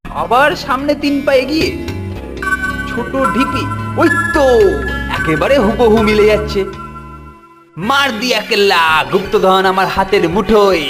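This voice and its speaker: A cartoon schoolboy bully, greedy and full of himself. His voice sits high and swoops widely as he bosses and schemes. Music plays behind him. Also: expressive, measured pace, pauses often. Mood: gleeful, greedy. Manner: theatrical, domineering, swooping delivery. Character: scheming, boastful, bossy older schoolboy bully, greedy for treasure. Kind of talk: Bengali cartoon voice acting.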